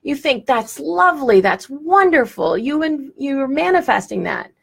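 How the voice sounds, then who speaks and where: A woman's voice: high voice, big pitch swings, unhurried, creaky. A woman teaching a live-streamed lesson, talking in a direct, enthusiastic way with bursts of laughter, on a phone or webcam mic. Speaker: law of attraction teacher.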